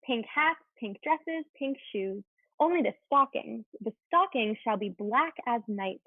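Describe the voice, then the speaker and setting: Thin, dry, phone-like audio of a girlish schoolgirl in a period play, acted by a woman. The voice sits fairly high with some rasp. The manner is opinionated and scornful. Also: lively intonation, a little slow, no echo, heavily acted. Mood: dreamy, bossy. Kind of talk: dramatic reading of a period play.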